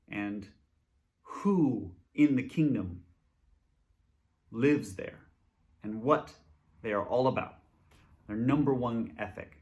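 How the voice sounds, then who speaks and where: A man speaking as a church presenter, giving an informal introduction and reading scripture aloud. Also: medium pitch.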